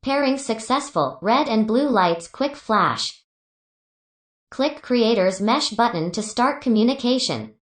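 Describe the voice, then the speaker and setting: A woman's voice: a little high, lively intonation, slow pace. Woman reading instructions, roomy echo. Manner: clipped step-by-step instructions. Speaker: product tutorial narrator. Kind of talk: scripted instructional narration.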